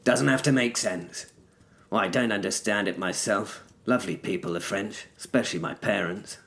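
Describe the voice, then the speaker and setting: An actor playing an urbane, pompous gentleman who narrates a story in a theatrical, wry, formal way. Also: fairly low pitch, moderate pace, creaky. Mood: smooth, sardonic. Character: urbane, pompous gentleman narrator, self-styled practised orator. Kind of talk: scripted first-person story narration.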